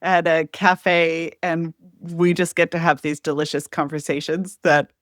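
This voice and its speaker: A woman hosting a casual podcast interview, warm and enthusiastic, with lots of affirming responses. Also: low voice, little pitch movement, unhurried, dry recording, studio quality. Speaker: podcast host.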